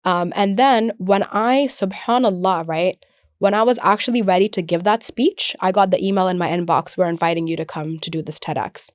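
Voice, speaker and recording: A woman's voice: fairly low pitch, brisk, no echo. Chatty young woman from the UAE, very dry sound. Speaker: podcast guest, air traffic controller and comedian. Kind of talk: casual conversational storytelling.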